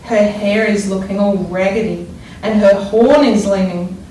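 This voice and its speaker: A woman teacher reading a storybook aloud to young children, expressively and deliberately, pausing to wonder aloud about it. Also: mid-range voice, mellow. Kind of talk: expressive children's read-aloud with think-aloud explanations. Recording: echoey room, distant mic, faint noise.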